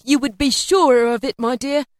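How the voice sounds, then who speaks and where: A woman voicing a gentle, loving poor father, earnest and tender. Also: sits high, normal speed, dry recording, studio quality, voice pushed up, lightly acted.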